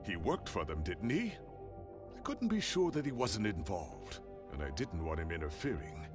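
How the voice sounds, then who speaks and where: A man playing a smug, pompous gentleman villain in a video game, formal and mocking, with music behind. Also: low-pitched, sing-song, moderate pace. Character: smug, haughty gentleman, silkily menacing villain. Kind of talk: video game character acting.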